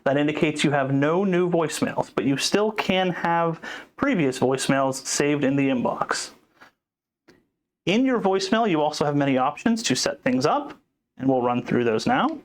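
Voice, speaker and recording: A man's voice: on the high side, lively intonation, moderate pace. A man presenting an informal instructional walkthrough in a plain, explanatory manner. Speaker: support tutorial presenter.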